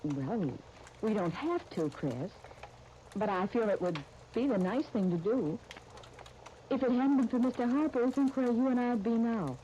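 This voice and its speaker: An actress playing an earnest, tactful young dress designer in a 1930s radio soap opera, speaking in a polite, gently persuasive way. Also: female voice, on the high side, animated, a little slow. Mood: conciliatory, persuading. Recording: muffled, hissy 1939 radio broadcast recording.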